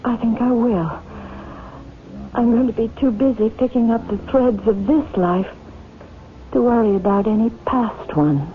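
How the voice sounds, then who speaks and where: An actress playing a troubled, weary woman in a radio drama, speaking in a halting, searching way. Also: on the high side, natural voice. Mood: calm relief. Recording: muffled, faintly noisy old radio broadcast.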